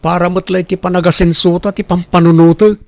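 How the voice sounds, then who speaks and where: An actor with an Ilocano accent playing a boastful, defensive husband who loves showing off, in a radio drama. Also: male voice, high voice, flat delivery, a little fast, creaky, a little mellow, dry recording. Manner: showy, bragging. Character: boastful, defensive husband and co-worker obsessed with costly branded clothes.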